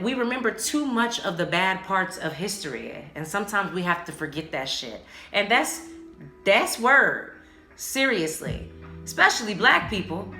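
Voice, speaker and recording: A woman's voice: lowish voice, sharp tone. A woman hosting a casual solo podcast, speaking candidly and emphatically in slang, with frequent swearing. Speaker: podcast host. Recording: roomy echo, music behind the voice. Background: music.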